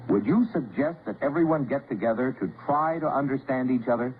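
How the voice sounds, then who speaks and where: A man's voice: medium pitch, fairly quick. A man giving an earnest scripted public service address on old radio, putting rhetorical questions to the listener. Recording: tinny, faintly noisy old radio broadcast.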